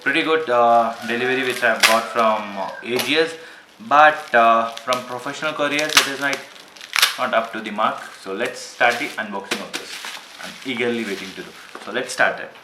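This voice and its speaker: A man's voice: mid-range voice, moderate pace. A man with an Indian accent giving casual, informal unboxing commentary to viewers, often repeating himself and calling them guys. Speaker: vlogger reviewing gadgets. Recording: echoey room with faint background noise.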